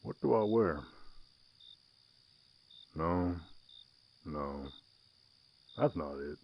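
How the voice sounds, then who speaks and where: A man with an American Southern accent voicing a gentle, shy, gruff orc ranch hand in a romantic western audio roleplay, speaking haltingly and protectively. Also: deep voice, animated, highs cut off, slight background noise, full character voice. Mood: nervous. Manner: bashful, halting, softly protective.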